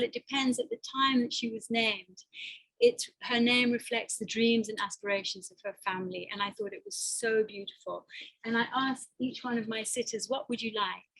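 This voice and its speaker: Woman artist, slightly high, halting and reflective; presenting a slideshow talk. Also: a bit bright, low-quality recording. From Botswana. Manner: hesitant, thoughtful, with fillers and restarts.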